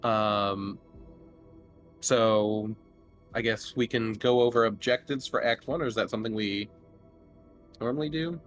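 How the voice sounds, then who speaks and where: A man acting as game master, narrating and explaining casually in a halting, hesitant way. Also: lowish voice, a bit bright. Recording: faint music under the voice. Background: faint music.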